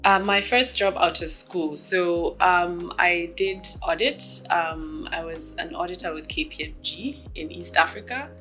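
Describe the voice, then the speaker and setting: A woman in her thirties from Kenya, a startup executive giving reflective, measured interview answers with frequent ums. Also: on the low side, sharp tone, faint music behind.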